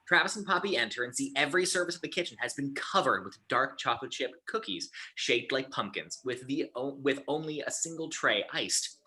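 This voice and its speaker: A man's voice: mid-range voice, pushed and bright. A man narrating the scene headings and action lines of a screenplay at an informal table read. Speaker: narrator of stage directions.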